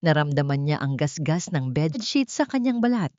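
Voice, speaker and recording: A woman's voice: low voice, big pitch swings, moderate pace, dark tone, no echo, muffled recording. A woman narrates a scripted dramatic story in a solemn, theatrical manner. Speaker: audiobook narrator.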